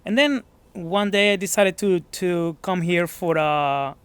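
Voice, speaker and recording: A man's voice: high-pitched, unhurried, clear, resonant tone, no echo. A man from Mexico with a Mexican accent, speaking English as a second language in a casual gym interview, modest and searching for words. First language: Spanish. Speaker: gym member being interviewed.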